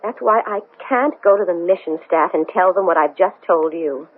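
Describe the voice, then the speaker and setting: An actress playing an anxious, strong-willed woman astronaut in a 1970s radio drama, speaking in an agitated, halting way. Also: fairly low pitch, close to an everyday voice. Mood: earnest, excited. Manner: agitated, halting, stammering speech. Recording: noisy, thin, phone-like old radio broadcast.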